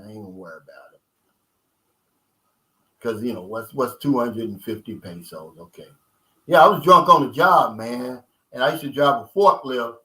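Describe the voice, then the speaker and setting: Bright-voiced man chatting with viewers. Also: mid-range voice, poor audio. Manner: loose, streetwise talk, chatting with viewers. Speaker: vlogger reading live chat. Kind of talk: casual live-stream chat.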